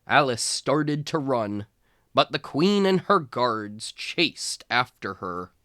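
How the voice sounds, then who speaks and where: A man who works as a tutor, reading a children's storybook aloud in an expressive, measured storytelling manner. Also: medium pitch, dry recording, clean studio sound.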